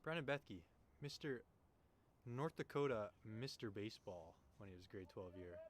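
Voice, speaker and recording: A man's voice: lowish voice, lively intonation, normal speed. A young man from Canada doing chatty, slangy play-by-play commentary of a baseball game in an informal, jokey way. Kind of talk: casual, chatty live sports commentary. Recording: noisy live ballgame broadcast.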